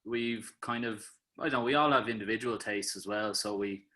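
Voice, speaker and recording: Bright-voiced Irish man, relaxed and rambling. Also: on the low side, normal speed. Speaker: band member. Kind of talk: casual interview chat. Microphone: video call.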